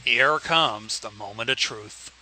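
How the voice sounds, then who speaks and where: A man's voice: mid-range voice, slow pace, background noise. A man co-hosting a livestream, bantering casually in a blunt, sweary way. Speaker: livestream co-host commenting on a story.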